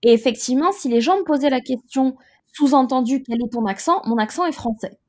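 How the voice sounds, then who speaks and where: High-voiced woman, reflective and measured. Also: darkish tone. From Côte d'Ivoire. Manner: reflective, measured, with frequent hesitations. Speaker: interview guest. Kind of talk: personal testimony in an interview.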